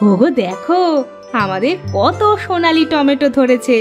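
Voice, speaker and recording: Woman acting a lively, high-voiced village wife. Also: moderate pace, over music. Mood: eager, coaxing. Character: eager young village wife of a farmer. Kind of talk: cartoon voice acting.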